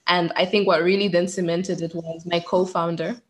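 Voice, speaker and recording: A woman's voice: fairly low pitch, little pitch movement, normal speed. A woman in her twenties from Zimbabwe, a PhD researcher speaking as an interview guest in a reflective, articulate way. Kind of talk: interview over a call.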